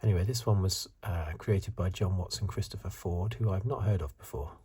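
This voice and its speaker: A man in his fifties giving soft-spoken, whispery ASMR commentary in a hesitant, halting, unscripted way, with a breathy voice. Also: low-pitched. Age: 50s. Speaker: host of an ASMR video channel.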